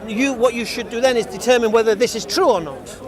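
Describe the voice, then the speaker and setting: Fast-talking man arguing over a loud crowd. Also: extremely high pitch. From England. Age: 40s. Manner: insistent, repeats himself, leading questions. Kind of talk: street debate.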